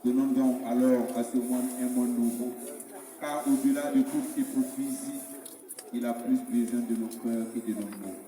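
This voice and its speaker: A man reciting devotional poetry in a solemn, measured, rhetorical way. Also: medium pitch, steady tone, mellow. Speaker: poet reciting his own religious poem. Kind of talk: declaimed French spoken-word poetry with a sung opening hymn. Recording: very echoey hall, distant, music behind. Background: music.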